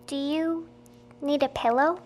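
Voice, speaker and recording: A woman's voice: high-pitched, steady tone, unhurried, a little mellow. An actress playing a nine-year-old girl who chats earnestly and openly in a childlike way.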